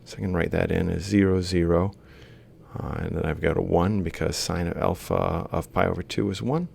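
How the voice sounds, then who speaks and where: A man's voice: low-pitched, fairly even intonation, brisk, gravelly, darkish tone, slightly rough. A man lecturing informally, explaining a worked example step by step and thinking aloud as he writes. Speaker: university lecturer.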